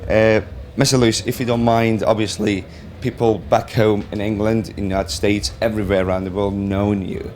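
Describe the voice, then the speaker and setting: A man hosting a live interview at a trade fair stand, effusively polite, with halting, loosely phrased questions. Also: lowish voice, level tone, a little mellow, slight background noise. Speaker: interviewer for a brand's web TV.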